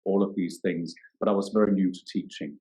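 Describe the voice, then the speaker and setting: A man in his forties with a very deep, low voice. He talks in a reflective podcast interview, pausing and reworking his sentences as he searches for words. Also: fairly even intonation, dark tone, poor audio. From Denmark. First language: Danish. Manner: thoughtful, searching for words, many restarts. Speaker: yoga teacher and guest.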